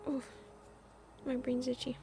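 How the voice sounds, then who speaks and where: A woman's voice: sits high, monotone, a little slow, dark tone, slight background noise. A woman live-streaming a game, chatting and reacting casually, candid and musing, often dropping to a murmur. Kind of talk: casual chat and reactions while playing.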